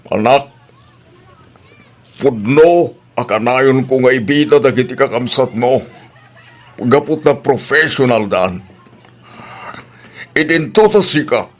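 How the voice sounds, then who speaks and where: Slow-spoken solemn father played by a man. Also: mid-range voice. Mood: reproving. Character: solemn father addressing his grown son. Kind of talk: Ilocano radio-style drama acting.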